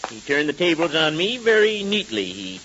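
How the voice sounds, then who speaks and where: A man's voice: on the high side, sing-song, moderate pace, pushed and bright. An American man playing a suave masked vigilante in a 1930s radio serial, formal and wry. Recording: muffled, hissing old radio recording.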